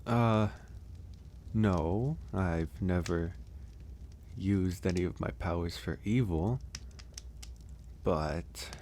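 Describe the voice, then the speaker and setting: A man playing a gentle, solitary werewolf in an ASMR audio roleplay, speaking softly and hesitantly, with sighs and pauses. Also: deep voice, slight background noise. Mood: sheepish, amused. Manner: hesitant, soft-spoken, unhurried with sighs and pauses. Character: gentle solitary male werewolf caring for an injured stranger.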